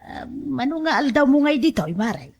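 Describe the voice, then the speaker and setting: A woman with an Ilocano accent playing an excitable, fretful middle-aged mother in a radio drama, expressive and exclamatory. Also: sits high, moderate pace, gravelly, heavily acted. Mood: cheerful, inviting. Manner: expressive, exclamatory, laughs easily.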